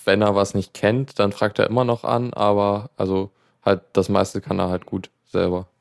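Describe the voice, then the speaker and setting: Male co-host, gravelly, laid-back casual chat. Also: on the low side, little pitch movement, normal speed, no echo, studio quality.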